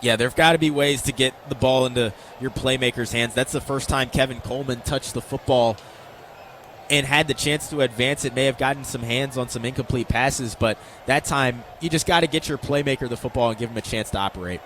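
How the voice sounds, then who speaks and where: A man's voice: mid-range voice, fairly quick, fairly bright tone. An American man giving conversational, explanatory football analysis as a radio colour commentator. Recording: live stadium ambience noise.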